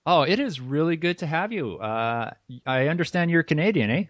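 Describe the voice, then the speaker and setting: A man's voice: fairly high pitch, lively intonation, moderate pace, no room sound. A man hosting an interview podcast, friendly and a little rambling as he asks his questions. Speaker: podcast host. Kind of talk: casual interview conversation. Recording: dull, dry sound.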